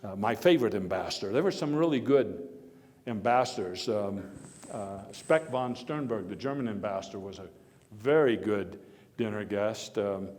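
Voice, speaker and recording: A man playing a hearty, opinionated former American president, telling his stories to an audience in a halting, wry and emphatic way. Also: fairly low pitch, a little mellow, close to an everyday voice. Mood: reminiscing. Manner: halting, storytelling, wry chuckles, emphatic bursts. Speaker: living-history performer giving a talk and taking audience questions. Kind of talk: first-person historical dramatization before an audience. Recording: echoey hall with long reverb.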